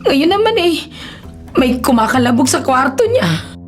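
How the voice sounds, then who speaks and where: A woman's voice: sits high, sing-song, a little slow. A Filipino woman playing a worried, caring nanny in a radio drama, speaking in an anxious, coaxing way. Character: worried, caring adult female nanny of the household. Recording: loud music behind dramatized dialogue.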